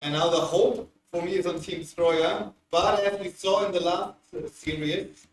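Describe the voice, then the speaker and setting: Man, co-commentator, slightly high voice, roomy echo, off-mic sound. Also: steady tone. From Bavaria, Germany. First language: German. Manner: measured, analytical, easygoing. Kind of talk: live game commentary. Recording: roomy, distant mic with hiss.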